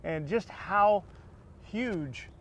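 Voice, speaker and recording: Middle-aged male interviewer, slightly high pitch, conversational and halting, noisy recording. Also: expressive. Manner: rambling, halting questions. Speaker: interviewer, faculty member and former football coach. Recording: clear background noise.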